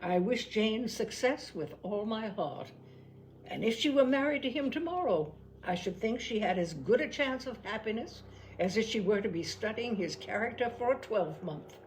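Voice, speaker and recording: Pragmatic young period gentlewoman played by a female narrator, opinionated, formal, lively intonation, for a storybook reading. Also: on the high side, slight background noise, lightly acted, poor audio. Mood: firm, philosophical. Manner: opinionated, formal, measured phrasing. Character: pragmatic, worldly young Regency gentlewoman advising a friend.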